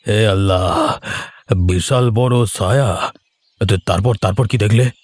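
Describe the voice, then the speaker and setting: A man's voice: lowish voice, slow, no room sound. A man voicing an anxious, pious older village uncle in a cartoon, sighing and exclaiming dramatically. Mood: alarmed, suspicious. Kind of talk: cartoon voice acting. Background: music.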